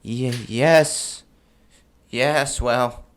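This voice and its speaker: A man doing a slow, doddering old man. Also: medium pitch, steady tone, a little hiss or hum, pitched above the performer's own voice. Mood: agreeable, doddering. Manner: halting, repeats words.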